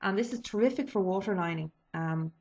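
A woman's voice: mid-range voice, a little fast, a little mellow, breathy edge. A woman, chatting casually about makeup she has been using, a little sniffly from a cold, with many ums and restarts. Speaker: beauty vlogger. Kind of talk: casual product review. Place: small bedroom indoors. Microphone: webcam built-in mic. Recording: dull lo-fi webcam audio.

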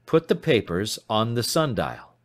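A man voicing an anxious, despairing young gentleman in an audiobook, formal and earnest in manner. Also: lowish voice, sing-song, a little slow. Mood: grave. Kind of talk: audiobook character voice.

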